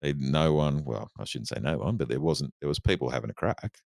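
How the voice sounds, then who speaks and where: A man's voice: rumbling low, fairly quick, creaky, a little mellow, no room sound, clean studio sound. A man, co-hosting a casual podcast chat in an easygoing way. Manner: easygoing, reads out figures. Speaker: podcast co-host.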